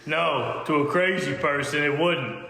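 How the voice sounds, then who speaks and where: A man with a bright, forceful voice reads his part in an amateur table read and banters casually. The room is echoey, with a long reverberant tail. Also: on the high side, unhurried, vocal fry. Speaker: podcast co-host reading a part.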